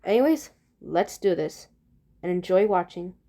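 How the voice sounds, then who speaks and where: A woman's voice: lowish voice, animated, a little slow, mellow. A woman casually introducing and commenting on a video, speaking informally and directly to the viewers. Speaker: video creator introducing own scenario.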